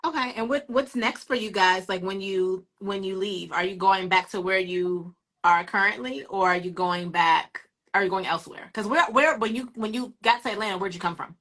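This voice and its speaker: A woman's voice: mid-range voice, normal speed, few pauses, fairly bright tone. An American woman hosting a casual interview in a chatty, conversational way. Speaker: host, interviewer. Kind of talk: casual chat.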